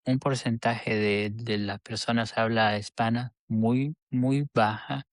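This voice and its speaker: A man speaks as a podcast guest at a middle pitch. He talks earnestly but hesitantly, often restarting his sentences. The sound is dry and close-miked. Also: fairly even intonation, slow, no room sound. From El Salvador. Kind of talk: casual interview chat.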